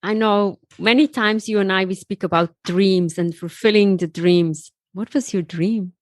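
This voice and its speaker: A woman's voice: mid-range voice, fairly even intonation, no echo. A woman born in Switzerland hosting a motivational podcast, speaking in an upbeat, encouraging way. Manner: upbeat, encouraging, slow deliberate intro. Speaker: podcast host.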